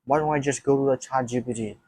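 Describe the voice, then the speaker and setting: A man's voice: medium pitch, fairly even intonation, fast talker, mellow. A non-native English-speaking man talking alone to a camera about learning the language, thinking aloud with many restarts and repeated phrases. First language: not English. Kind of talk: casual solo talk to camera.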